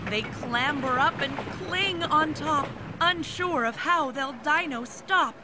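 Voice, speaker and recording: Slow, higher-voiced woman reading a children's story. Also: very noisy. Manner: expressive, measured rhyming delivery with acted exclamations. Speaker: picture book reader. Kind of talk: read-aloud children's story narration.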